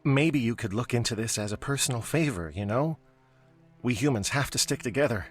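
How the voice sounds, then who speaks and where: A male actor as a worried civilian in a space game. His voice is medium in pitch, earnest and plain-spoken, with faint music in the background. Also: fairly quick, husky, lightly acted. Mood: worried, hopeful. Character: worried working man seeking his missing brother. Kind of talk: video game voice acting.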